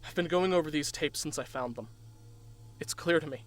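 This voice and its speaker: A man's voice: fairly high pitch, a little fast, close to an everyday voice. A man playing a paranoid listener who confides his fears about strange tapes. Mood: uneasy. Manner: uneasy, confiding monologue. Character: paranoid young man obsessed with alien tapes.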